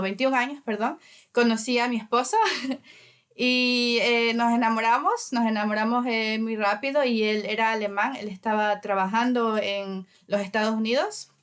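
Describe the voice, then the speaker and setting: A woman's voice: a little high, fairly even intonation, moderate pace, clear, resonant tone. A woman from Ecuador in her thirties, speaking hesitantly with many fillers as an interview guest. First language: Spanish. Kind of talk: casual interview.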